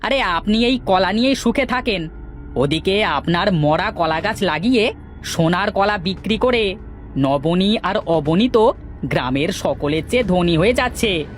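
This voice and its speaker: Man as envious village schemer, sly, grumbling, lively swooping pitch, cartoon acting, music underneath. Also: unhurried. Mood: goading. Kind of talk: children's moral cartoon acting.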